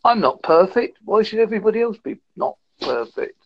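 A man in his fifties talking calmly and thoughtfully on a video call. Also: high voice, dull audio. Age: 50s. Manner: calm, measured, reflective. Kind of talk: casual conversation.

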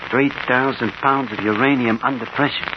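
Dull, noisy vintage radio sound. A male actor plays a small-time gangster, a cynical man with a mid-pitched voice. He talks bluntly in sarcastic slang, as in an old crime serial. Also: steady tone, moderate pace, natural voice. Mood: doubtful.

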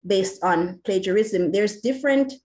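A woman's voice: mid-range voice, a little slow, a little mellow. Earnest Jamaican woman educator. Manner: earnest and passionate, many "you know" fillers. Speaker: educator and academic. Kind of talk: interview answer.

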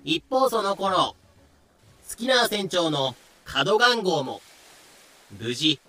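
Pirate drama narrator male actor: crisp-edged, intense, theatrical, dryly ironic, music underneath. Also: sits high, big pitch swings, slow pace, bright, forceful tone, exaggerated voice. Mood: dramatic. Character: wry male storyteller of a pirate history drama. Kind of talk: dramatic scripted narration with comic irony.

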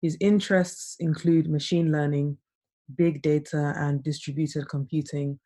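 A woman hosting a remotely recorded podcast interview, talking in a soft, relaxed way. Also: low-pitched, measured pace. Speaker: podcast host. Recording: not studio quality, remote.

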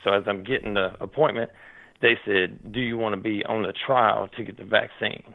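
A raspy, hoarse man talks over a tinny phone line. His voice is gravelly and his talk rambles, full of fillers and restarts. He sounds worked up and animated as a caller to a talk show. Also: fairly low pitch, moderate pace. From Tennessee. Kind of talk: casual call-in chat.

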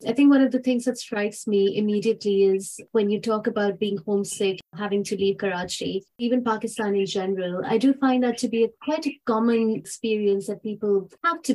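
A woman's voice: medium pitch, mellow, low-quality recording. A woman hosting an interview podcast, responding in a thoughtful, affirming way. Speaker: podcast host.

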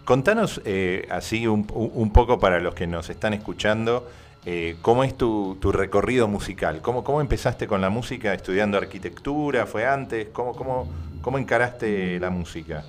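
A man from Argentina with a Rioplatense accent co-hosts a podcast over a remote link, talking in a halting, friendly way. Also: on the low side. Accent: Rioplatense Spanish. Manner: halting, lots of fillers and restarts, friendly. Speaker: podcast co-host. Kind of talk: casual chat.

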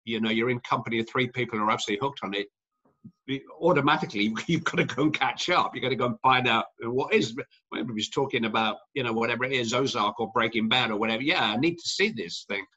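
A middle-aged commentator speaks with a bright, forceful tone, circling through fillers and restarts as he answers. The recording sounds dull and lo-fi, with the highs rolled off. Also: quick pace, breathy edge. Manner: rambling, many restarts and fillers. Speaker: sports commentator guest. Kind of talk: conversational interview answers.

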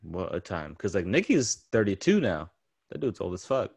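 A man's voice: deep voice, very animated, moderate pace, gravelly, a little mellow, slightly rough. A man in his late twenties hosting a casual podcast chat, teasing his friend and swearing freely. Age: 20s. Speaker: podcast host.

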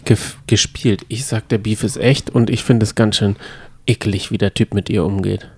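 A man with a fairly low, relaxed voice talks casually as a podcast co-host. He offers his opinions freely in a conversational way, recorded dry and close. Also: moderate pace, slightly rough, no echo. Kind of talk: casual chat about reality TV.

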